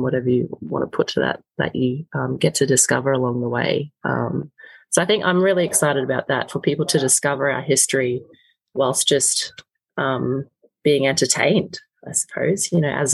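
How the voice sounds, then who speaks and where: A woman actor being interviewed, speaking in a thoughtful, halting way with many pauses. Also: extremely low pitch, creaky, breathy edge, no echo, rough recording. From Australia. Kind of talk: casual reflective interview talk.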